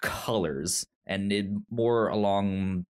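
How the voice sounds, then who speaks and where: Slow-paced man in casual geeky chat. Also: lowish voice, a little mellow, clear, resonant tone. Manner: halting, repeats and rephrases, geeky enthusiasm. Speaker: podcast co-host. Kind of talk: casual chat about comics and shows.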